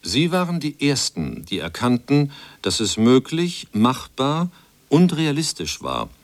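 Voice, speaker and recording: A man's voice: mid-range voice, wildly swooping pitch, unhurried, no echo. A man reading quotations from a script for a radio feature. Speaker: reader of translated quotations.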